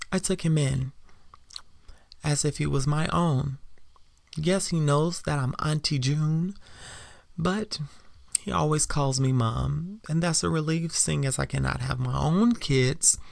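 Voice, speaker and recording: A man's voice: fairly high pitch, vocal fry, no echo, studio quality. A man telling a first-person dramatic story as a woman character, in a reflective, theatrical manner. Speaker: podcast storyteller.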